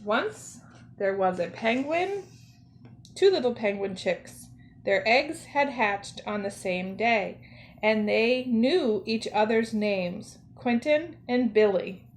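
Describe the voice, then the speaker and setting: A woman reads a children's picture book aloud with expressive phrasing. Her voice sits a little high and she goes slowly, setting out each phrase with care for young listeners. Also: slight background noise. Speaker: storyteller, zoo educator.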